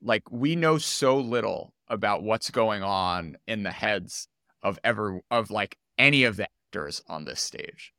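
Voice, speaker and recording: A man's voice: lowish voice, expressive, measured pace, pushed and bright, no echo, studio quality. A man hosting an interview podcast in a casual, informal way, rephrasing his thoughts as he goes.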